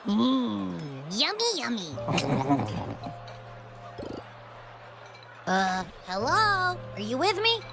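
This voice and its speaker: A woman's voice: fairly high pitch, wildly swooping pitch, very slow, vocal fry, long pauses, full character voice. A young woman voicing a cheerful, food-loving companion in a video game cutscene, bubbly and a little boastful. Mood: curious. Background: faint game music.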